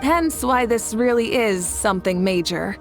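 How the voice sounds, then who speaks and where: An actress playing a calm, assured, kindly woman leader in an anime game, composed and reassuring. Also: fairly high pitch, unhurried, soft background music. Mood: earnest.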